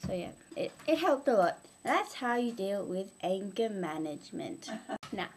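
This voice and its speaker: A woman's voice: mid-range voice, sing-song, a little slow, darkish tone, a little hiss or hum. A young woman telling improvised, humorous moral stories informally, with a playful, mock-instructive manner. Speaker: amateur storyteller.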